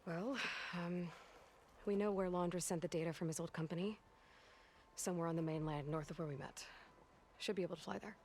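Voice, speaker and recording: An actress playing an earnest, determined young female adventurer in a video game cutscene, speaking in a measured, understated, sincere way. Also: lowish voice, fairly even intonation, darkish tone, slight background noise, close to an everyday voice. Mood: sympathetic, practical.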